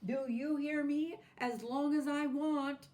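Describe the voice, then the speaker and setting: A woman voicing a spoiled, bossy little doll come to life, speaking in a high, demanding, whiny voice. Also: steady tone, takes it slowly, darkish tone.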